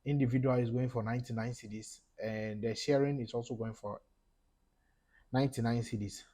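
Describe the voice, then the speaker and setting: A young man from Ghana with a Ghanaian English accent, talking viewers through a screen-recorded tutorial step by step, with fillers and pauses. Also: medium pitch, fairly even intonation, warm tone. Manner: casual explaining, talks through each step, halting with fillers. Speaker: tech YouTuber. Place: small room indoors.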